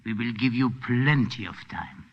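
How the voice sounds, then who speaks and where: An actor in a 1940s radio drama playing an anxious, effusive French hotel keeper with a French accent. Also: male voice, mid-range voice, animated, a little slow, creaky. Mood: anxious, earnest. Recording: muffled old radio broadcast recording.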